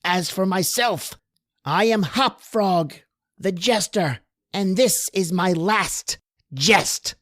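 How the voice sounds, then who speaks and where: A man voicing a vengeful, gleeful dwarf court jester with a shrill, theatrical delivery in a horror radio tale. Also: a bit bright, dry recording, clean studio sound, exaggerated voice. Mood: vengeful triumph. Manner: drawn-out, theatrical declaiming. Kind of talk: dramatic storytelling in old horror radio manner.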